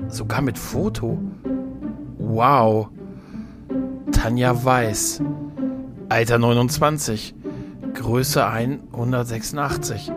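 A man's voice: medium pitch, close to an everyday voice. A man playing a sarcastic, flippant sidekick in a German sci-fi audio drama, talking in a wry, quippy way. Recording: music under the dialogue.

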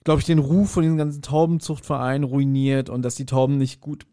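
A man's voice: medium pitch, level tone, brisk, mellow. A man co-hosting a podcast in casual chat, speculating and thinking aloud somewhat hesitantly. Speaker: podcast co-host. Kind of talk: casual chat, speculating about an audio drama.

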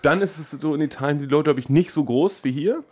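A man's voice: on the high side, fast, a little mellow. A man chatting casually as a podcast host, laying out absurd plans in earnest detail. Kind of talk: casual comedic chat.

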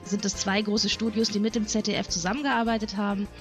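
A woman's voice: medium pitch, level tone, quick pace, soft background music. A middle-aged woman, chatting casually and rambling as she reminisces on a podcast panel.

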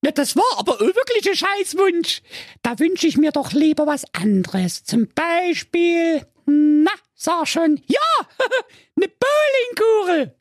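A man voicing a clumsy young heroine of a silly fairy tale in a very high, squeaky falsetto, whiny and dithering. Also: big pitch swings, slow, no echo, studio quality, full character voice.

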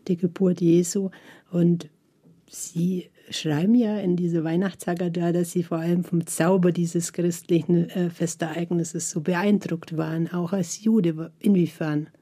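A woman's voice: lowish voice, level tone, measured pace, dark tone. A woman interviewing a guest, speaking formally and laying out background before her questions. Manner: formal, explanatory.

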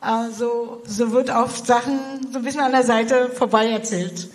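A woman's voice: fairly high pitch, fairly even intonation, moderate pace, big-room sound, rough recording. A German woman, a missionary, answering interview questions in a hesitant way, with many fillers and restarts. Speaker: missionary guest. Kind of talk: interview conversation.

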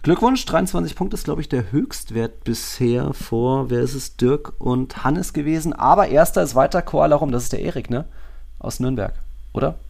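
Gravelly-edged man chatting about football. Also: medium pitch, expressive, normal speed, darkish tone. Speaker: football podcast co-host. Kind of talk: casual football podcast chat.

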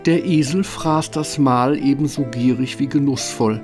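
A man's voice: mid-range voice, a little slow, over music. A man narrating a scripted fairy tale as the storyteller of a radio play.

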